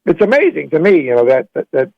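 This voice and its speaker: A man's voice: fairly high pitch, very animated, fast talker, vocal fry, no room sound. A man calling in to a radio show, chatting casually in a hesitant, rambling way and repeating himself. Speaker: phone-in caller. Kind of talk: casual chat. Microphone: phone call. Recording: dull lo-fi radio call.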